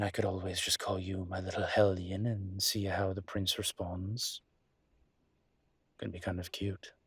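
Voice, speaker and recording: Man playing a slow, husky, refined ancient demon. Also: low voice, no room sound, heavily acted. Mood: playful. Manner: measured, halting, wry, formal phrasing. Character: refined, wary, ancient male demon professor. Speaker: voice actor in audio roleplay. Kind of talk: intimate audio roleplay monologue.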